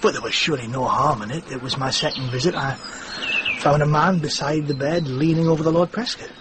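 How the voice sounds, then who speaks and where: A man playing an earnest, higher-voiced medieval man. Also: brisk, noisy background, lightly acted. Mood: hesitant. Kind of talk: radio drama acting.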